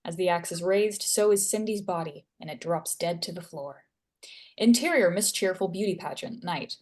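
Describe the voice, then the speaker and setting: A woman's voice: lowish voice, moderate pace, darkish tone. A woman reading stage directions as scripted narration.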